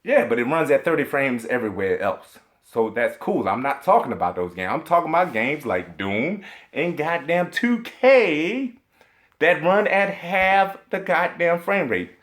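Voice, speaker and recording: Fired-up swearing man, swinging pitch. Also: big pitch swings, a little slow, a bit bright. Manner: emphatic and indignant, heavy swearing; rambling with stacked filler hesitations. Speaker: video game commentator. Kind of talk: profane ranting vlog commentary.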